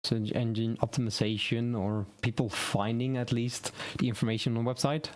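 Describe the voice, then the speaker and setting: A man whose first language is Dutch hosts a relaxed live stream on website building. He thinks aloud, stumbles over words and restarts them, at an ordinary middle pitch. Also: normal speed. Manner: rambling, self-correcting, thinking aloud. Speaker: web design streamer. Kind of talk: casual tutorial live stream.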